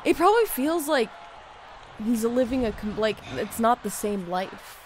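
A young woman giving casual, halting, sweary reaction commentary to game cutscenes, close on a desk microphone. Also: fairly high pitch, sing-song, a little hiss or hum. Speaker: gaming streamer. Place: indoor room at a desk. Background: game music and sound.

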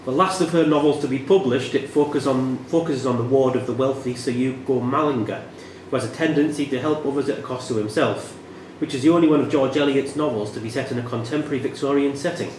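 Male quiz master, mid-pitched and measured, reading questions in an echoey, slightly noisy room. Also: a little mellow. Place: indoor pub room. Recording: echoey pub room with some noise.

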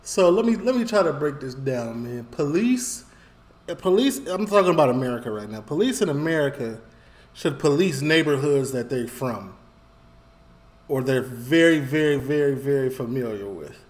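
A man's voice: medium pitch, moderate pace. A man, reacting to a police show in casual, rambling commentary that circles and repeats itself. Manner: animated, rambling, repeats phrases, gestures while talking. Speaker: reaction video host.

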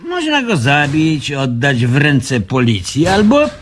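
Kombinujący wspólnik, żywy głos. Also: male voice, on the high side, huge pitch swings, measured pace, noisy background. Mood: scheming. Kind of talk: radio comedy drama acting.

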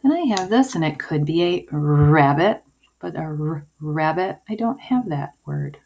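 A woman teacher giving a video lesson to young children in simple, deliberate phrases. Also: sits low, lively intonation, a little mellow. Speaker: early-years school teacher. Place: indoors at a table, homemade video. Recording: dull lo-fi sound, clear noise.

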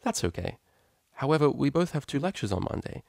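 A man's voice: medium pitch, big pitch swings, brisk, vocal fry, darkish tone, no room sound, clean studio sound, pitched above the performer's own voice. A man voicing a proper, awkward young female pony cellist who speaks in a polite, formal, hesitant way.

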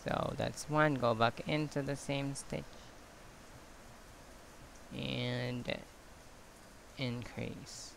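Slow, gravelly man counting stitches. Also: mid-range voice, slight background noise. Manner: slow, quiet counting while working with hands. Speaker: craft tutorial maker. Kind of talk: step-by-step craft tutorial. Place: indoors at a table. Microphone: camera on hands, speaker off screen.

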